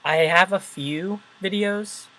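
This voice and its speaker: A man's voice: high-pitched, slow, pushed and bright, rough recording. A man who makes videos, hosting a casual chat, talking hesitantly and searching for his words. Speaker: video maker, host. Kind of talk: casual conversation.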